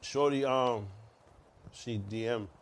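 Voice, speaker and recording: A man's voice: medium pitch, slow pace, a little hiss or hum. A man hosting a podcast, bantering loosely in slang with his co-hosts. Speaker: podcast host. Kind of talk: casual banter.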